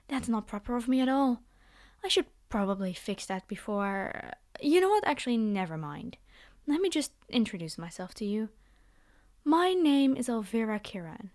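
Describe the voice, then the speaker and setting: A woman's voice: on the high side, a little mellow, dry recording, clean studio sound, natural voice. A woman playing a haughty, possessive vampire noblewoman in an ASMR fantasy roleplay, speaking in a theatrical, condescending and formal way. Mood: politely gracious. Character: haughty, possessive vampire noblewoman, polite yet menacing captor.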